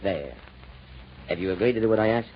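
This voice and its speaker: A man's voice: fairly low pitch, lively intonation, brisk, natural voice. Man acting suave schemer on old radio. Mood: conspiratorial. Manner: courteous, conspiratorial. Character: suave, secretive French music-shop owner, male schemer. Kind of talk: 1940s radio drama acting. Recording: dull, noisy old radio recording.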